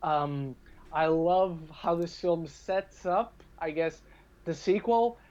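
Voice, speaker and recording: A man's voice: a little high, animated, takes it slowly, a little mellow. A man speaking as a podcast guest, giving his opinions on films in casual chat. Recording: dull lo-fi call-like audio.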